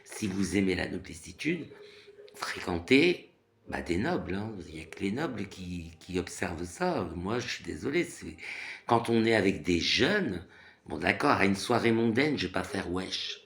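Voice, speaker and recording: A man's voice: sits low, sing-song, normal speed, creaky, a bit bright. A man in his thirties from France, ranting casually in a rambling monologue full of fillers and repeated phrases. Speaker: vlogger.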